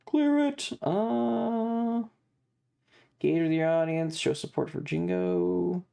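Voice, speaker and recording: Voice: mid-range voice, slow, vocal fry, dark tone, smooth. A game streamer chatting casually and swearing freely over game music. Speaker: livestream host. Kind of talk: casual gaming stream chat.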